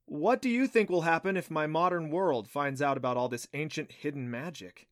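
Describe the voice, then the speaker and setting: A man's voice: on the high side, normal speed, dry recording, pitched above the performer's own voice, close to an everyday voice. An earnest boy hero from an audiobook adventure, performed by a man. He sounds curious and boyish, with a teasing streak. The recording is dry, with no room around the voice. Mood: awed, pensive. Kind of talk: audiobook character voice.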